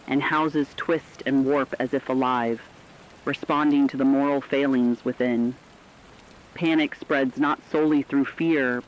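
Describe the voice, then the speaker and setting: A man's voice: mid-range voice, lightly acted. A man playing a grave radio reporter, delivering formal, solemn warnings of terror as scripted dramatic news. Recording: dull lo-fi sound, hissy rain noise.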